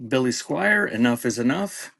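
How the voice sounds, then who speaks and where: A male live auction host with a mid-pitched voice. He announces each item in brief, clipped phrases. Between lots he slips into casual chat with the people watching. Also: lively intonation, normal speed, creaky, fairly bright tone, no room sound. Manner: clipped announcements mixed with casual asides to viewers. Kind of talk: live-stream sales auction, calling out items in short phrases.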